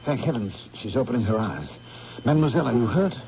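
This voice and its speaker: An actor playing a firm, take-charge English painter in a 1970s radio drama. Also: male voice, medium pitch, sing-song, fast talker, dark tone. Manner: firm, takes-charge, urgent. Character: commanding English gentleman painter. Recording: dull old radio broadcast, faint hiss.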